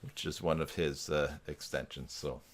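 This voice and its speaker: A man's voice: a bass voice, fairly even intonation, normal speed. A man hosting a casual video call chat, joking and teasing as he talks. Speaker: live stream host.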